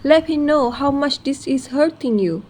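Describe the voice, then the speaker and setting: Supportive girlfriend-confidante, played by a woman, high pitched, advising, radio drama with slight noise. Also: normal speed, a little mellow, lightly acted. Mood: soothing. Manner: soothing, advising. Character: concerned, steadying best friend, a supportive young woman. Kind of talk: amateur radio drama acting.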